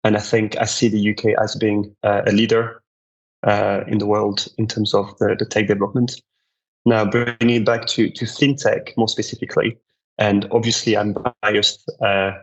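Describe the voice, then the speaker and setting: A man's voice: fairly low pitch, muffled recording. A man with a French accent, a podcast guest giving earnest answers and often repeating words as he thinks. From France. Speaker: guest, marketing director.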